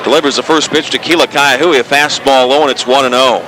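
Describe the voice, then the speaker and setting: A man's voice: medium pitch, fairly even intonation, quick pace. A man calling live baseball play-by-play as a radio announcer, reporting the game in detail. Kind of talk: live sports commentary. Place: outdoor ballpark broadcast position. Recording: noisy outdoor ballpark with crowd. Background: crowd and stadium ambience.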